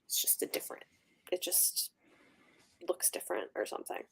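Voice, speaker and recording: A woman in an informal book chat, thinking out loud and offering theories in a speculative way. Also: normal speed, in a whisper, poor audio. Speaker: book discussion co-host. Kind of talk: casual book-club chat.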